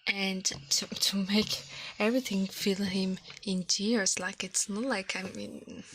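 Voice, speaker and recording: Woman ASMR livestream host, medium pitch, breathy whispery delivery, slow murmur, close mic, slightly noisy recording. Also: fairly even intonation, a bit bright.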